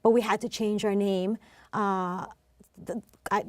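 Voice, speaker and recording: A woman's voice: medium pitch, fairly even intonation, a little slow, no room sound. A woman guest telling her personal story in a casual, chatty way, with bits of light laughter. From Philippines and New Zealand. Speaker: interview guest, singer and worship director.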